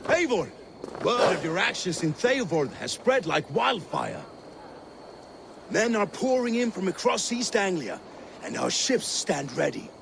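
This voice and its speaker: High, gravelly Viking warrior ally. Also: male voice, sing-song, measured pace, noisy background, heavily acted. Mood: excited. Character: eager Viking war-band ally, confident strategist. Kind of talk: cinematic video game acting.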